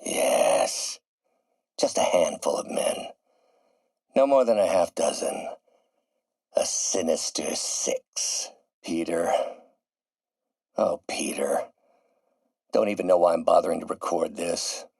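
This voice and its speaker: An actor voicing a grandiose, middle-aged scientist turning villain in a video game, theatrical and erudite, with precise enunciation. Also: male voice, low voice, measured pace, gravelly, long pauses, dark tone, breathy edge, dry recording, heavily acted. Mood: sinister scheming, then dejected. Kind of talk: video game voice acting, dramatic monologue.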